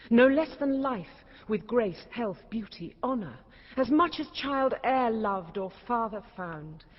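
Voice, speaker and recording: An actress playing a regal eldest princess who flatters formally, in a classical Shakespearean stage drama with declamatory delivery. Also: female voice, on the high side. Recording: muffled, reverberant hall sound.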